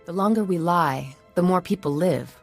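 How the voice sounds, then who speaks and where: An actress playing a shrewd, commanding senator with a formal, authoritative manner in a video game. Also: female voice, lowish voice, moderate pace, faint music behind. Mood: shrewd, firm.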